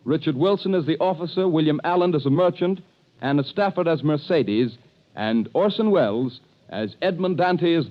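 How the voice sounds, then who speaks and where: Formal 1930s radio announcer, man. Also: a little high. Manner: crisp, formal delivery. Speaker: network announcer. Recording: muffled 1930s radio broadcast with music.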